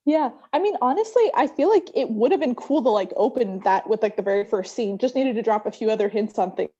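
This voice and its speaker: A woman's voice: a little high, animated, a little fast, dark tone, slightly rough. A woman giving casual feedback in a tentative way, peppering it with "like". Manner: tentative, heavy use of "like". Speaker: workshop participant giving feedback. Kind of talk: casual chat. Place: online video meeting. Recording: slightly dull video call audio.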